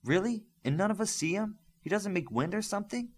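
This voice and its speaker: Young superhero heroine played by a man: curious, animated, raised pitch, audiobook dialogue. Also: fairly quick, slightly rough. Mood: surprised. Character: young female superhero teammate, curious and keen.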